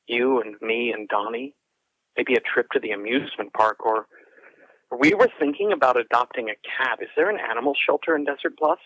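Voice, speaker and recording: An anxious, tender partner in an audio drama, talking into voicemail with a medium-pitched voice. He hesitates and rambles, stumbling over his words. The telephone sound is dry, with no room around it. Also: brisk, fairly bright tone, dry recording, muffled recording. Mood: tentative, pleading.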